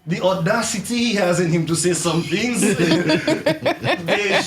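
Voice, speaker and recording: A man from Trinidad and Dominica with a Caribbean accent, a music artist chatting in an interview, animated and laughing, often restarting. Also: sits high, slow pace. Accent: Caribbean English. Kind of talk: casual interview chat.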